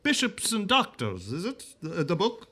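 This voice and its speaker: An actor playing a celebrated, convalescing Irish singer in a radio drama, genteel and earnest, with a hesitant, fussy delivery. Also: male voice, sits high, wildly swooping pitch, unhurried, bright, forceful tone, exaggerated voice.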